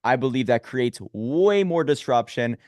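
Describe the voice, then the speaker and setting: A man's voice: medium pitch, expressive, unhurried, darkish tone, no echo, studio quality. A man hosting a podcast interview, earnest and opinionated as he lays out his views. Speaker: podcast host. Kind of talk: conversational interview.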